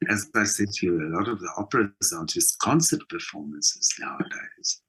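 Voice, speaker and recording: Husky, bright-voiced South African man. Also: fairly low pitch, very animated, normal speed, rough recording. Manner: emphatic, repeats phrases for stress. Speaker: interview guest, theatre performer and mentor. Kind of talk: conversational interview.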